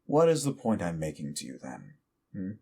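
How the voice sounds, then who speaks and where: A man voicing an ancient, petty male demon who mocks a mortal in a slow, deep drawl, in audio roleplay. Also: wildly swooping pitch, vocal fry, a little mellow, slightly rough, exaggerated voice. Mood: taunting.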